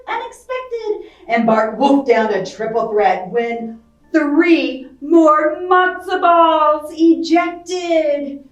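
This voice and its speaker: A woman reading a picture book aloud to children, expressive and playful, with chatty asides and questions to her young listeners. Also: sits high, roomy sound, distant mic, rough recording. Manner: expressive, playful, acting out sound effects. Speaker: library storytime reader.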